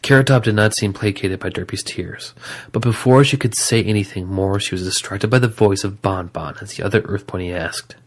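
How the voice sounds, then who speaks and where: A narrator with a very low voice reads scripted story prose. The delivery is that of an audio drama storyteller. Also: a little fast.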